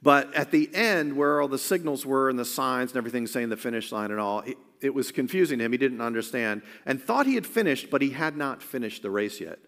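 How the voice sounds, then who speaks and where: A man's voice: mid-range voice, animated, brisk, hardly any pauses, fairly bright tone, long reverb tail. A man preaching a sermon in an earnest, conversational way, often restarting his phrases. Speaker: pastor.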